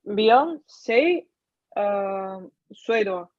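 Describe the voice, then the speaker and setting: A woman learning French as a beginner, answering slowly and hesitantly on a video call. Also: mid-range voice, animated, dry recording, dull audio. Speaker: beginner student.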